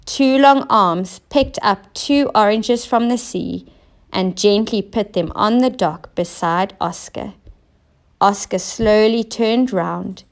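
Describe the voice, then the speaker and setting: A woman narrating a children's storybook in an expressive, deliberate way, with emphasis on key words. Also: mid-range voice, vocal fry. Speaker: teacher reading stories aloud.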